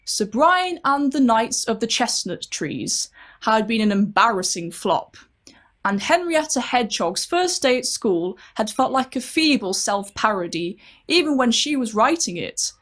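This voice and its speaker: Expressive, higher-voiced woman storyteller. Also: a little slow. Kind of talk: scripted dramatic story narration.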